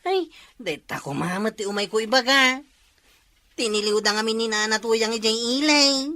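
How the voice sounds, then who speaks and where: A woman's voice: on the high side, lively intonation, normal speed, sharp tone, dry recording. A woman with an Ilocano accent in a comedy sketch, asking alarmed questions.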